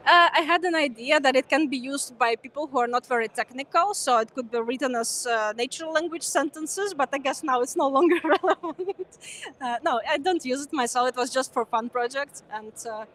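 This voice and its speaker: A woman's voice: high voice, fairly quick, a bit bright. A young woman whose first language is Ukrainian, talking casually and hesitantly in a conference interview, with many fillers. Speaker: conference guest, former speaker. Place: busy conference venue.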